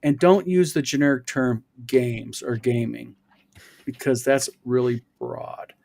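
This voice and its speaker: A man's voice: mid-range voice, steady tone, measured pace, vocal fry, a little mellow. A man in his fifties hosting a casual chat show, explaining his points at length. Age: 50s. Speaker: host.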